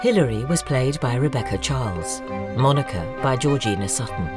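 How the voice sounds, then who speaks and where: A woman's voice: extremely low pitch, expressive, moderate pace. A woman reading scripted end credits as an announcer for an audio drama. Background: prominent music.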